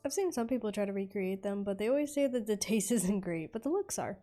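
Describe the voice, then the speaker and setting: Mellow, lively woman gaming streamer. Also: mid-range voice, quick pace. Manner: chatty, folksy replies to game characters, often chuckling. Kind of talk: casual game playthrough commentary. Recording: game music faintly behind.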